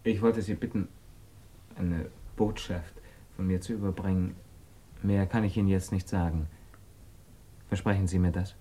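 A man's voice: sits low, steady tone, normal speed, pauses often, mellow, a little hiss or hum, close to an everyday voice. A man playing a troubled, proud suspect under questioning in a German radio drama, speaking formally and intensely. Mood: resigned, solemn.

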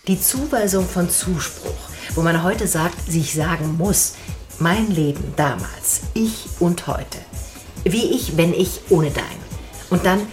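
An actress playing a musing intellectual woman, halting and circling, in a stylized radio drama. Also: on the low side, soft background music. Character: musing woman in rambling intellectual bar talk.

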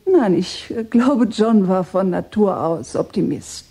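A widowed mother speaks in a very soft-edged, dark voice with a husky grain. She is composed and gracious, choosing her words with polite hesitation in a radio drama. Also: medium pitch, animated, slow pace, exaggerated voice. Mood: reflective, insistent. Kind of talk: German radio drama acting.

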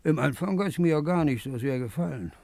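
Creaky, wistful old townsman. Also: a little high, animated, a little mellow, no echo. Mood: wistful, stubborn. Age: older. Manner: halting, wistful, coaxing. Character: frail, pleading old man of a port town, lame. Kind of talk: 1950s German radio play acting.

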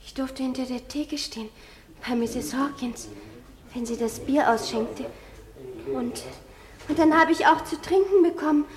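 A woman playing a frightened, neglected young girl who testifies haltingly in an old German radio drama. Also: high voice, a crowd in the background. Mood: wistful. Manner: halting, repeating herself. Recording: hissy 1950s radio drama recording.

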